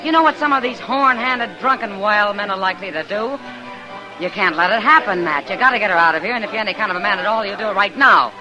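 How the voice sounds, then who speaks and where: A woman's voice: mid-range voice, quick pace, music in the background, natural voice, poor audio. A woman playing a bold, caring frontier saloon woman in a western radio drama, frank and insistent in her delivery. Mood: urgent, indignant. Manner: frank, insistent, plain-spoken.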